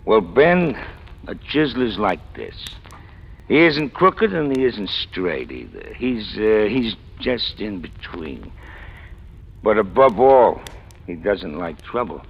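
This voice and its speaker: An actor playing a talkative, self-deprecating ex-convict in an old radio crime drama, with a gravelly, folksy, slangy delivery. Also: male voice, on the low side, sing-song, slow, exaggerated voice. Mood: musing, blunt. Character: talkative, self-deprecating ex-convict stick-up man, cheerfully fatalistic. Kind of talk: 1940s-50s radio crime drama acting. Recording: muffled, hissy old radio recording.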